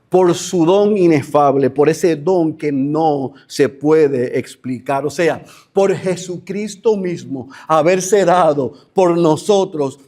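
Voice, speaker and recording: A man preaching a sermon as pastor, acting out imagined conversations with animated emphasis. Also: high voice.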